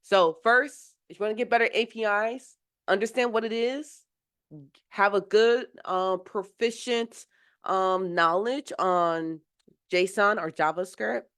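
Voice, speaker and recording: A woman's voice: mid-range voice, slow, a bit bright, smooth. A woman teaching a live mentoring session while getting over a cold, explaining in a casual, rambling way with many fillers and restarts. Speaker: software engineering mentor.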